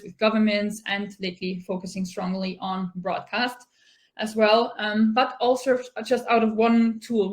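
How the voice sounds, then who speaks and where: Austrian woman presenting with many fillers. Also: mid-range voice. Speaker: conference speaker. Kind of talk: online meetup presentation. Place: home office, remote.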